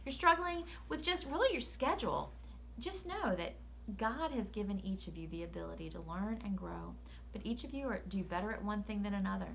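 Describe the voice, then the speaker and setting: A woman reading a children's story aloud as a teacher, pausing to explain things in an encouraging way. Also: mid-range voice, creaky, fairly bright tone, a little hiss or hum. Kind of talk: animated read-aloud with explanatory asides.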